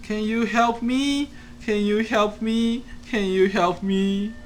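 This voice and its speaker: A man playing a high-voiced, lovesick little dinosaur. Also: steady tone, slow. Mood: pleading. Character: kind, lovesick little male dinosaur, humble and earnest. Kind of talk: amateur puppet show acting.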